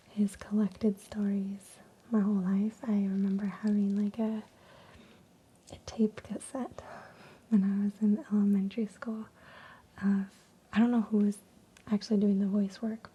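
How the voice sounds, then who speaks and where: A woman reading stories in a soft unvoiced ASMR whisper, slow and hushed with frequent ums, while losing her voice. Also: mid-range voice, husky, muffled recording. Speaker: ASMR creator.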